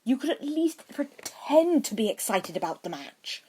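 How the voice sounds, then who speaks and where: A woman's voice: sits high, big pitch swings, a little slow, a little mellow, a little hiss or hum, pitched above the performer's own voice, low-quality recording. Woman voicing a snide, whiny schoolboy wizard. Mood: whining. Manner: snooty, complaining, emphatic.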